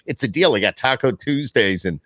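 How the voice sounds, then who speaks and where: A man's voice: medium pitch, lively intonation, brisk, a bit bright, no room sound. A middle-aged American man hosting a podcast, chatting casually like a radio announcer off duty.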